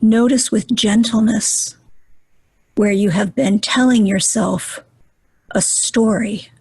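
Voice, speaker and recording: A woman leading a guided meditation in a soft, breathy voice, gentle and soothing, with deliberate pauses. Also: on the high side, steady tone, no room sound.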